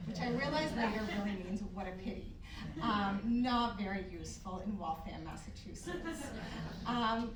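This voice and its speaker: Woman storyteller in an echoey hall. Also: on the high side. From Argentina. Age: 40s. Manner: conversational storytelling with many "um"s. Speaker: author speaker. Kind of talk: talk to a live audience. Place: event hall. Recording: echoey hall, mic from a distance, audible noise.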